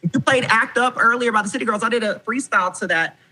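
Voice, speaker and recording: Bright-voiced young man, frank and animated. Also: very high-pitched, fast talker, rough recording. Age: 20s. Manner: animated, frank, swears freely, says "y'all". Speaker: guest. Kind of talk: casual podcast interview.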